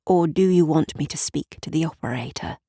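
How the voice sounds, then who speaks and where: A woman's voice: sits low, fairly quick, warm tone, no room sound, clean studio sound. A woman voicing a coolly menacing, controlling female neighbour in an audio drama, clipped and cold. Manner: clipped, cold, barely moving the lips.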